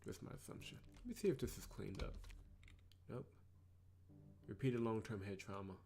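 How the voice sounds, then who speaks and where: A man's voice: on the low side, sing-song, moderate pace, vocal fry, a little mellow. A man giving casual commentary on a video game playthrough, reading along as he goes. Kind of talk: casual commentary, reading visual novel dialogue aloud. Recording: game music behind the voice. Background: game music.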